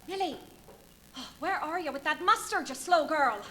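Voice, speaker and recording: A woman's voice: sits high, moderate pace, a bit bright, a little hiss or hum. A woman playing a chatty, bossy village innkeeper's wife in a live radio play, fussy, gossipy and full of exclamations. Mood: scolding. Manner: gossipy, exclamatory, deferential to guests, scolding the maid.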